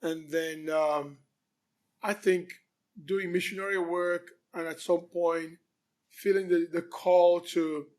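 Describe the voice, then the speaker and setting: A man in his fifties from Cameroon, telling his life story as a guest in a relaxed interview, pausing often. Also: high voice. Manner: relaxed storytelling, frequent pauses with um. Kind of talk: conversational interview.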